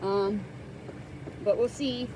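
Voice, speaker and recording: A woman hosting a casual tarot card reading, soft-spoken and hesitant, with many pauses and fillers, close to the microphone. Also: mid-range voice, steady tone, background noise.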